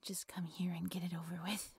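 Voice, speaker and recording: A woman acting a devoted, breathy cult priestess. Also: lowish voice, level tone, brisk, darkish tone, no echo, full character voice. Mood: hushed, controlled. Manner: breathy, reverent, theatrical incantation with nervous stammers. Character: devoted, obsessive young female cult leader worshipping dark master. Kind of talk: scripted roleplay audio drama.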